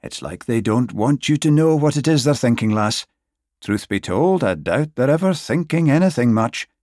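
A man voicing a wry, grumbling Highland sheep farmer in an audiobook, musing and muttering with a Scottish accent. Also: expressive, moderate pace, a little mellow, dry recording, clean studio sound, lightly acted. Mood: amused. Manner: musing, dialect-laced muttering to his dog.

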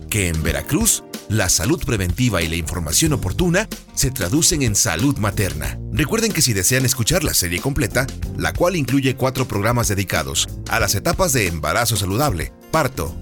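A man with a Mexican accent presenting a radio series in scripted narration. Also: lowish voice.

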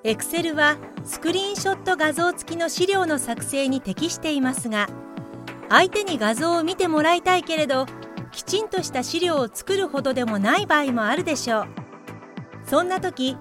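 A woman's voice: high-pitched, animated, moderate pace, over music. A woman narrator reading scripted explanatory narration in a tidy instructional way.

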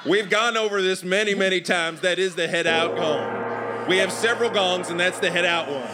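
A man playing a tired, exasperated father with a Southern US accent in improvised comedy, loud and scolding. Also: high-pitched, moderate pace, pitched above the performer's own voice, natural voice. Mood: stern. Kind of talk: improvised comedy storytelling acting.

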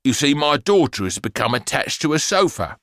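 A man's voice: a little high, sing-song, fairly quick, fairly bright tone, dry recording, studio quality, voice pushed up. A man voicing a flustered, polite father speaking to an emergency operator.

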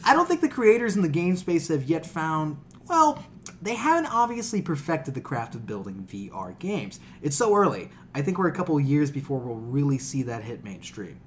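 A man's voice: on the high side, big pitch swings, moderate pace, a little hiss or hum. A man co-hosting a casual gaming podcast, joking in crude, sweary slang. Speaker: podcast co-host.

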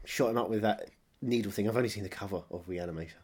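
A man co-hosting a podcast, riffing on absurd tangents in a deadpan, offhand way. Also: fairly low pitch, quick pace, slightly rough. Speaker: podcast co-host. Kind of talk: casual comedic chat.

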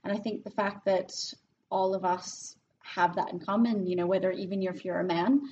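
An articulate woman in her early thirties explains her interest in a play in a brisk way. She sits away from the microphone. The sound is muffled, with a faint hiss behind it. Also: mid-range voice, steady tone, warm tone, recorded from a distance. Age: 30s. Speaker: actor in the cast. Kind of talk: casual group interview. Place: rehearsal room.

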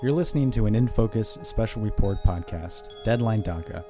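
A young man co-hosting and reporting on a news podcast, reading his script in a measured way. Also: lowish voice, expressive. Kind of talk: scripted podcast narration with banter. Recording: music bed underneath.

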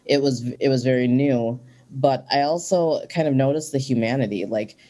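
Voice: mid-range voice, moderate pace, dark tone. A guest in their forties from Minnesota, talking earnestly and reflectively in a casual interview, with frequent ums.

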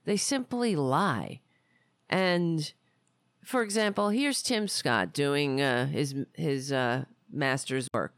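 A woman's voice: low-pitched, animated, slow pace, dry recording, clean studio sound. A woman hosting a political podcast in a rambling, indignant, sarcastic way, saying she is losing her voice. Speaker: podcast host. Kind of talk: casual political commentary.

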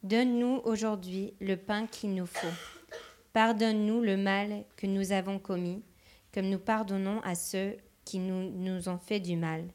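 Woman translating a sermon live, slow, stops and restarts a bit. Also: medium pitch, a little mellow. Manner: translating phrase by phrase with hesitations. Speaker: interpreter.

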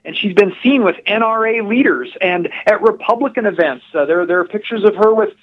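A man on a phone line, explaining and storytelling as an interview guest in an animated, emphatic, precise way. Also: sits high, brisk. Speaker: political blogger, phone-in guest. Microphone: telephone. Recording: dull, narrow phone line.

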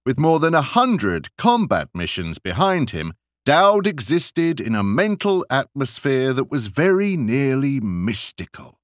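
A man narrating literary essays as an audiobook, with expressive, deliberate and theatrical phrasing. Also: on the low side, no room sound, clean studio sound.

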